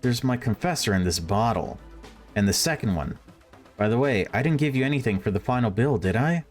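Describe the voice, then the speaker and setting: A man's voice: medium pitch, expressive, brisk, soft background music, natural voice. A man voicing a brash, boozy young woman from a visual novel, teasing and cocky.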